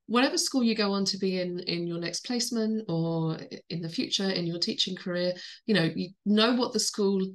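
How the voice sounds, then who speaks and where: A woman teacher giving a talk with a croaky voice because she is a little ill, speaking earnestly and informally with many fillers. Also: mid-range voice, moderate pace. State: croaky voice from illness. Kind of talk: recorded teacher-training talk.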